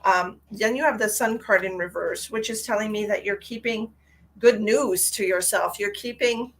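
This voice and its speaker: A woman's voice: mid-range voice, moderate pace, husky, low-quality recording. Stuffy woman reading tarot live. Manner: chatty and affectionate, addresses viewers directly. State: stuffy, congested. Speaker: psychic tarot reader. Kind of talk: live-stream tarot reading, chatting with viewers.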